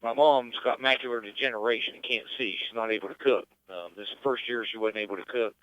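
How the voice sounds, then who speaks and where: Bright, clear middle-aged American man hosting. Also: mid-range voice, steady tone, fast talker, dry recording. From West Virginia, United States. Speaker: ham radio net control operator. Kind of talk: unscripted radio net hosting, casual chat. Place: amateur radio transmission. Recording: slightly dull, dry radio-net audio.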